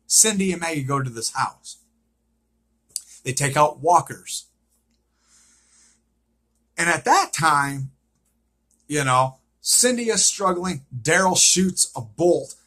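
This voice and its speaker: A man with a fairly high, tense voice retells a TV episode off the cuff. He goes slowly and has a rough, husky edge. He circles back over his points and often checks in with a short questioning okay. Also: a bit bright. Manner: repetitive, checks in with frequent "okay?". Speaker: vlogger recapping a TV series. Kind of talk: unscripted episode recap, casual retelling.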